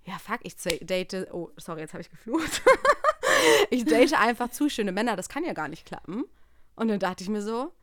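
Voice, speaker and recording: A young adult woman talking casually as a podcast co-host, telling personal stories in an animated way and quoting what people said. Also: medium pitch, moderate pace, no echo, studio quality.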